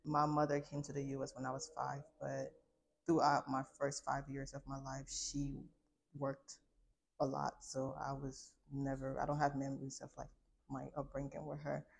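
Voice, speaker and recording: A woman's voice: extremely low pitch, completely flat delivery, normal speed, creaky, breathy edge. A woman in her twenties from Liberia telling a candid personal story in an informal, reflective, conversational way. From Liberia, later United States. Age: 20s. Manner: informal, reflective, frequent use of "like". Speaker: guest sharing a personal testimony.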